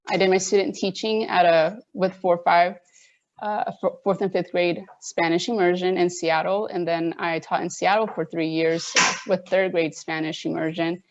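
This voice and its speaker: A woman's voice: fairly low pitch, dull audio. A woman in her thirties from Oregon, a teacher, giving a friendly, conversational presentation to parents at a virtual open house. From Oregon, United States. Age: 30s. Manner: friendly, conversational, plainly spoken. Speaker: elementary school teacher.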